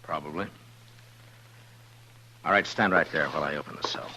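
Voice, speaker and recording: An actor playing a steady, laconic frontier marshal in a 1950s radio western, speaking in measured, terse phrases. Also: male voice, extremely low pitch, exaggerated voice. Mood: stern, unruffled. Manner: measured, terse, halting when explaining. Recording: noisy, humming old radio recording.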